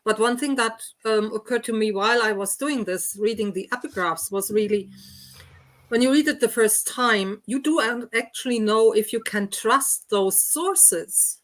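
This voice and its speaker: A woman's voice: on the high side, moderate pace, low-quality recording. Woman in a casual book discussion. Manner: frequent tag question "no?". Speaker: host of a book read-along discussion.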